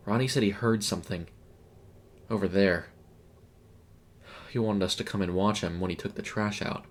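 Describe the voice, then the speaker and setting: A man voicing a spooked, hesitant young fast-food worker in a horror story, stammering. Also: on the low side, close to an everyday voice. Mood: subdued.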